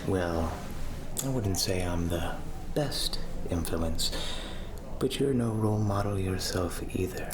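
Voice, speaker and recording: A man plays a roguish vampire charmer with a low voice. He murmurs very slowly and wryly, sly and teasing in ASMR style, over clearly audible background noise. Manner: unhurried, wry, softly murmured teasing. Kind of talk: murmured and whispered ASMR roleplay.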